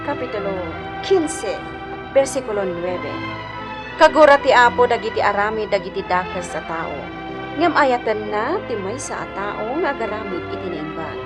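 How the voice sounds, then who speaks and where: A woman with an Ilocano accent reading a scripted programme introduction as a formal, declamatory radio drama announcer. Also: medium pitch. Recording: broadcast with clear music underneath.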